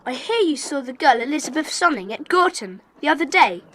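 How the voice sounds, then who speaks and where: A woman playing a decisive, bossy schoolboy who leads child detectives in a children's audio drama, brisk and commanding. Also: sits high, sing-song, fairly bright tone. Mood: earnest.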